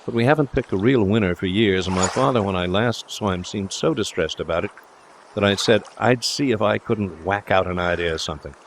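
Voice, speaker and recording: A man voicing a breezy, confident young gentleman suitor in an audiobook, speaking in a jaunty, reassuring, chatty way. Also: lowish voice, a little fast, slight background noise, lightly acted. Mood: chatty, confiding. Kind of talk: audiobook character dialogue.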